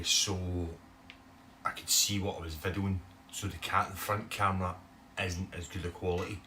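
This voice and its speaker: A man with a broad Scottish accent, talking casually to camera for his bass guitar channel, rambling with lots of "eh" fillers. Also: sharp tone, distant mic, a little hiss or hum. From Scotland. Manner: chatty, rambling, many "eh" fillers. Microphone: phone camera.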